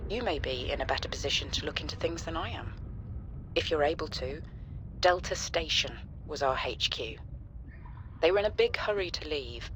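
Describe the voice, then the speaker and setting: An actress playing a sincere, sober colleague, speaking formally and with measured care in a video game message. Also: female voice, low voice, noisy background, natural voice. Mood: grave, helpful. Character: sincere, sober woman colleague offering condolences.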